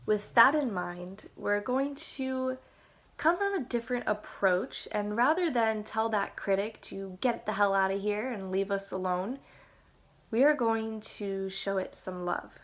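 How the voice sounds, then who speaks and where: A woman guiding a meditation in a soothing, unhurried, instructional way. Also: mid-range voice, a bit bright. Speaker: meditation guide. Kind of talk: guided meditation narration.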